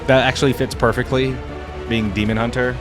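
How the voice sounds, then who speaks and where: Male gaming reactor, mid-pitched voice, chatty, thinks aloud, clear music underneath. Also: flat delivery, fairly quick. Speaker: host of a gaming reaction channel. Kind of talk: casual reaction commentary. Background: game theme music.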